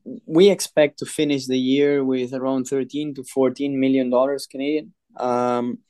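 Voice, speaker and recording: Flat-toned businessman with halting speech. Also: medium pitch, no echo. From Peru. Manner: measured, frequent filler pauses. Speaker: mining company chairman. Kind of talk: podcast interview.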